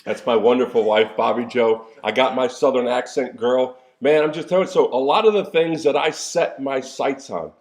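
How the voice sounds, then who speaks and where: A man's voice: medium pitch, moderate pace, few pauses, darkish tone. A man in his sixties, a coach and pastor, giving a casual motivational talk with folksy, preacherly asides and an occasional cough. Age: 60s. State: coughing now and then.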